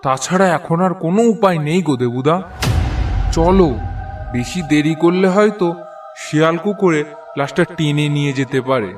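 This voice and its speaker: A man plays a deferential cartoon villager with a high-pitched voice. He sounds urgent and pleading, a bearer of grim news, a little off the mic with music clearly underneath. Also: normal speed, long pauses, far from the mic, close to an everyday voice. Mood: pressing. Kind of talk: cartoon voice acting.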